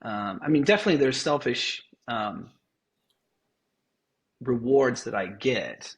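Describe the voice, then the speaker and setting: A man's voice: medium pitch, expressive, unhurried. A man speaking as an interview guest, talking casually and energetically, swearing now and then and restarting his sentences. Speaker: guest, festival founder.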